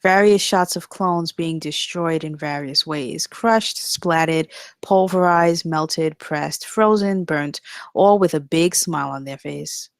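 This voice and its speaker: A woman's voice: sits low, slow pace, gravelly, dry recording, clean studio sound. A woman narrating the stage directions at a screenplay table read in a scripted style.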